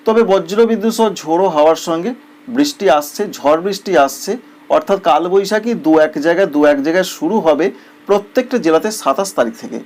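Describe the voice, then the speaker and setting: A man's voice: high voice, darkish tone. A man presents a weather bulletin in an explanatory, emphatic way, repeating phrases for clarity. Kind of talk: semi-scripted weather bulletin narration.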